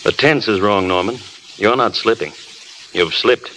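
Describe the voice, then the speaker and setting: An actor in a 1930s radio drama playing a tactful but frank film studio boss. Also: male voice, lowish voice, unhurried, fairly bright tone. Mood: gently blunt. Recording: noisy old 1930s radio broadcast.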